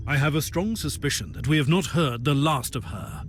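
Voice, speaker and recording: An actor playing a zealous, imperious Inquisitor in a video game, dramatic and grave, with theatrical delivery. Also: male voice, on the high side, lively intonation, normal speed, faint music behind, natural voice. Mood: solemn. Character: commanding, zealous male Imperial Inquisitor with psychic powers.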